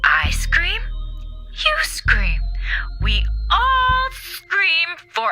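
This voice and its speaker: An actress playing an unhinged, menacing space station commander in a radio drama, with slow, theatrical, taunting delivery. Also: female voice, very high voice, huge pitch swings, pushed and bright, soft background music, heavily acted. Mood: manic, menacing.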